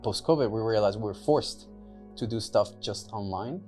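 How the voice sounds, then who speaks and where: A man's voice: fairly low pitch, moderate pace, a little mellow, soft background music. A young man from Mexico and the US, whose first language is Spanish, chatting loosely as a podcast guest, full of "like" and "right?" fillers. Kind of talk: casual interview talk.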